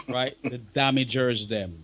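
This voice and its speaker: Jamaican man, mid-pitched, as a forthright radio guest who hammers his points home. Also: takes it slowly, fairly bright tone, a little hiss or hum. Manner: blunt, emphatic, repeats himself for stress. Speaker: guest, veteran music engineer. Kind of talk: outspoken talk show discussion.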